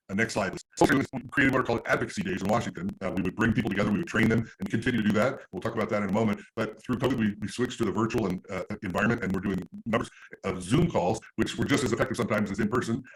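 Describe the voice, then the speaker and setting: A man giving an informal slide presentation as an advocacy speaker, often halting and rephrasing as he goes. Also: on the low side, rough recording. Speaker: patient advocacy organization speaker.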